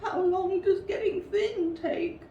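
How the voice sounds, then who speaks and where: A woman voicing a plaintive, gloomy little male bear in a storybook, in a slow, fretful, exclamatory way. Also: very high-pitched, steady tone, mellow, dull audio, pitched above the performer's own voice. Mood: anxious. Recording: roomy, distant mic with faint noise.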